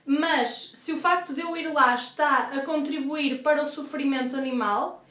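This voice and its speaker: A woman's voice: sits high, fairly even intonation, normal speed, a bit bright. Young Portuguese woman vlogger, congested and chatty, recorded a bit far off in a roomy space. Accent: European Portuguese. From northern Portugal. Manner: chatty, explanatory, many fillers. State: congested from asthma. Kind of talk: casual Q&A vlog. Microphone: device camera at a distance. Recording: echoey room, voice off-mic.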